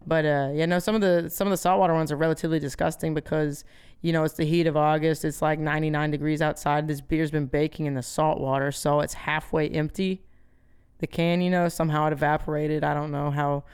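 A woman's voice: low voice, little pitch movement, fairly quick, a little mellow, smooth. A woman co-hosting a podcast in casual comic chat, telling rambling stories in slangy, joking language. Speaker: podcast co-host.

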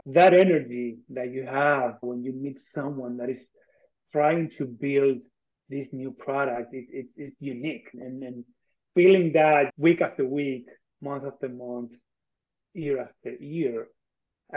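An Argentine-accented man talks as an interview guest in a conversational, earnest way. His pitch sits in the middle for a man, and he leans on repeated phrases for emphasis. The audio is thin and narrow, like a phone call. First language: Spanish. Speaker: interview guest, tech company co-founder.

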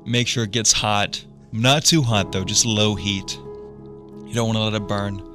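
A man's voice: fairly low pitch, fairly even intonation, measured pace. A man, the sidekick on a morning radio show, giving a mock-dramatic recipe reading. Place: radio studio. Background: music under the voice.

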